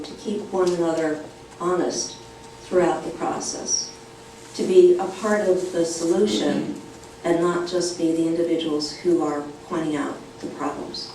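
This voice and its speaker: A woman with a fairly low voice, delivering measured panel remarks. She is recorded from across a very echoey hall with faint noise in the background. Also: fairly even intonation, dark tone. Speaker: panelist, former official. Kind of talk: conference panel talk. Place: university conference room. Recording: echoey hall, distant mic.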